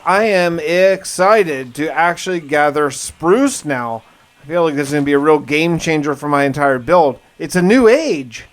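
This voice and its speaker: A man narrating a survival game playthrough in a conversational, first-person storytelling way, as a roleplaying video host. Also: a little high. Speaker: gaming video host and player. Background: music.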